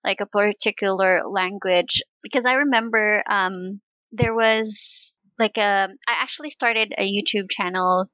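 A woman's voice: medium pitch, fairly even intonation, measured pace, pushed and bright, no echo, poor audio. A woman hosting a podcast interview, upbeat and encouraging in a casual chat. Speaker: podcast host.

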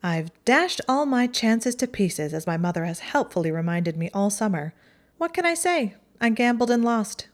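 A woman voicing a haughty, sharp-tongued teenage witch girl in a storybook reading, with a mocking, high-reaching delivery. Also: very animated, moderate pace. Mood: bitter. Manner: mocking, acidic, voice rises high.

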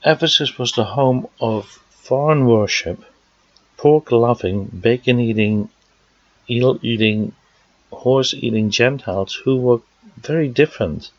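A man from the Netherlands with a Dutch accent, preaching a sermon and working through scripture verse by verse, pausing with fillers as he explains. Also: mid-range voice, no echo. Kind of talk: sermon teaching. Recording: dry, dull lo-fi sound.

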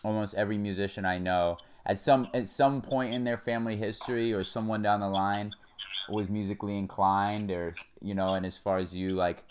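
A young male podcast host asks questions and praises his guest in a friendly, loose way, often restarting his sentences. His voice sits a little low and sounds smooth and resonant. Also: steady tone, fairly quick. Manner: friendly, affirming, hesitant restarts. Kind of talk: casual interview chat.

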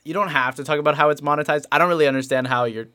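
A man's voice: on the high side, very fast, sharp tone, smooth. Giggly rambling teenage man. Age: late teens. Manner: giggly, rambling, lots of fillers. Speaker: podcast host. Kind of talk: casual chat.

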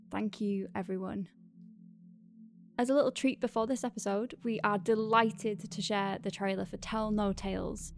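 A woman's voice: mid-range voice, darkish tone. A woman podcast host giving a friendly, informal announcement to her listeners in an appreciative way. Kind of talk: scripted thank-you announcement to listeners.

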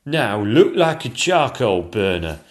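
A man's voice: mid-range voice, very animated, voice pushed up. A man voicing a bossy, practical chief painter in a storybook, calling out orders. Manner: shouting brisk orders.

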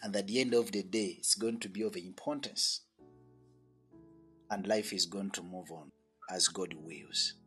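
A man's voice: low-pitched, fairly bright tone, faint music behind. A man hosting a faith radio chat show, earnest and emphatic, praying and welcoming listeners. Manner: earnest, slow and emphatic, with swells in volume. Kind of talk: preaching and prayer, then hosting a chat.

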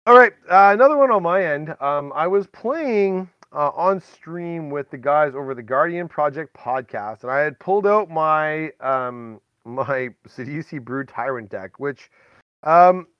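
A man's voice: on the high side, expressive, unhurried, clear, resonant tone, dry recording. Guy hosting a podcast, chatty casual stories, dry kinda dull lo-fi audio. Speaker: podcast host. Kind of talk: casual storytelling chat.

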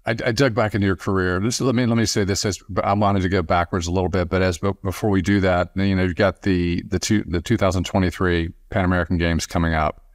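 A man hosting a casual sports podcast interview, speaking haltingly and often repeating words as he restarts. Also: sits low, fairly even intonation, no echo, studio quality.

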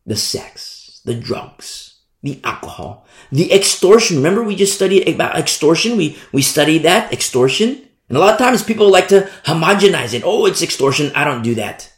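A man preaching a sermon in an animated, repetitive style, acting out the people he criticizes. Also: on the high side, moderate pace. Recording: echoey room sound.

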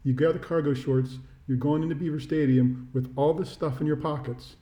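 A man's voice: on the high side, steady tone, brisk, warm tone. A middle-aged American man co-hosting a podcast, chatting casually about sports in a wry, self-deprecating, rambling way, with a roomy echo. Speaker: podcast co-host. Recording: roomy, echoey sound.

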